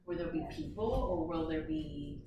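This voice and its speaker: A woman's voice: low voice, normal speed, lo-fi sound. A woman teacher leading an interactive read-aloud for young children, asking lots of questions and prompting them. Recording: very echoey room, far mic, loud noise.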